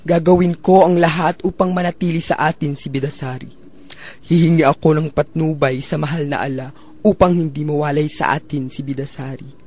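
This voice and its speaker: Casting: actor as devout noble family man, slightly high register, earnest formal declamation for radio drama. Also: fairly even intonation, a little fast, darkish tone, natural voice. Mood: reassuring. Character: pious, devoted husband and father, a datu. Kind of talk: melodramatic radio-drama acting.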